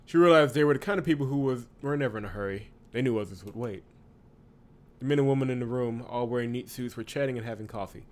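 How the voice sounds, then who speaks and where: A man's voice: mid-range voice, quick pace. A man hosting a visual novel playthrough, reading the narration aloud and reacting casually. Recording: loud game music behind voice. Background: game music.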